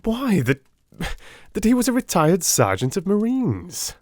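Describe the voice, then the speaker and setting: A man's voice: high-pitched, huge pitch swings, a little slow, a little mellow, no echo, studio quality, voice pushed up, full character voice. A man playing a detective's earnest, easily astonished companion in a dramatised audiobook, speaking in an exclamatory manner. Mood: astonished, curious. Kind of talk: dramatised audiobook character acting.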